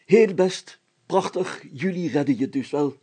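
A man's voice: on the high side, measured pace, mellow, husky. A man voicing a pompous, self-satisfied, flustered mayor in a story, stammering and speaking in grand, bossy phrases. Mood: pleased, pompous. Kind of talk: dramatised story reading.

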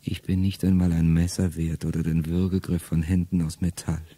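A middle-aged man speaking a first-person radio play monologue in a low, intimate way that often sinks to a whisper. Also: level tone, moderate pace, mellow.

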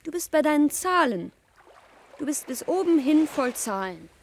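An actress playing a reproachful, dreamy teenage daughter in a radio drama. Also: female voice, sits high, very animated, normal speed, a little hiss or hum.

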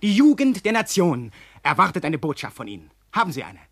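Pushy fast reporter, highish voice, dry sound, radio play. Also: male voice, huge pitch swings, no room sound, exaggerated voice. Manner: brisk, pompous, insistent. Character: pushy young newspaper reporter. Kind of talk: radio drama acting.

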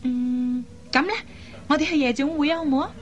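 Actress doing a high voiced young gal, radio drama, headstrong lil sister type, chatty and coaxing. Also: female voice, brisk, natural voice. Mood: eager, coaxing. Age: young adult. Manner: coaxing, chatty, expressive with sighs and particles. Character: lively, headstrong young woman matchmaking for her elder sister. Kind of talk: Cantonese radio drama acting.

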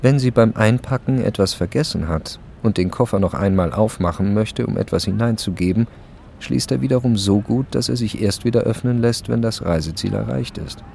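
An actor playing a dry, detached storyteller, giving understated literary narration in a radio drama. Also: male voice, fairly low pitch, moderate pace, creaky, darkish tone, a little hiss or hum. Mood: wry. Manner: dry, understated, matter-of-fact.

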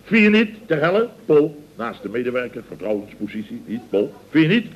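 Pompous, wildly animated middle-aged boss. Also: male voice, mid-range voice, fairly quick. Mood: scheming, jovial. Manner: blustering, stammering, repeating himself, chuckling. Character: pompous, excitable, sentimental middle-aged building contractor boss. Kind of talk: 1970s Dutch radio comedy acting. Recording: dull vintage radio recording.

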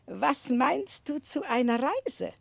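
An actress playing a strict, eccentric older aunt in a 1960s German radio play, speaking emphatically and deliberately with a husky voice. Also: female voice, high voice, very animated, no echo, heavily acted. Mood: brisk, deliberating. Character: strict, eccentric, resolute older aunt, fond but blunt.

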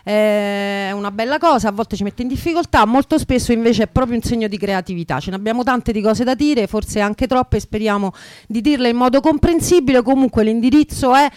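A woman's voice: fairly high pitch, fairly quick, darkish tone, no echo. A woman co-hosting a radio show, announcing events in an informal, colloquial, chatty way.

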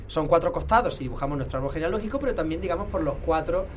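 A man talks very quickly in a slightly high voice, like an informal expert guest. He explains didactically, corrects himself often and tags his points with little check-in questions. There is some ambient noise behind him. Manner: didactic, animated asides, self-correcting, checks with "¿no?". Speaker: guest expert on heraldry history.

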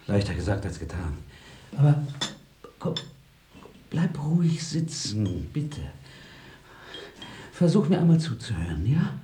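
A man playing an anxious, fatalistic critic in a radio play, theatrical and halting. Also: fairly low pitch, dark tone. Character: anxious, haunted Viennese critic, rambling and fatalistic. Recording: roomy radio recording with tape hiss.

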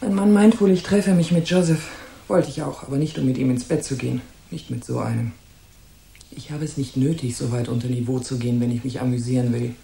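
A woman's voice: extremely low pitch, fairly even intonation, brisk, vocal fry, mellow, a little hiss or hum. An actress playing a haughty, suspicious and scornful married woman in a German radio crime drama. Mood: angry, contemptuous. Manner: disdainful, imperious, guarded. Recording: roomy echo.

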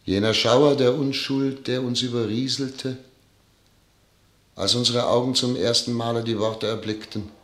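An actor as a slow, brooding statesman, echoing. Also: medium pitch, fairly even intonation, fairly bright tone, close to an everyday voice. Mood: dreamy, solemn. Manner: solemn, poetic, deliberate. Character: brooding, troubled male dignitary addressed as Excellency. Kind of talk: German radio play acting. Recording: echoing, roomy studio sound.

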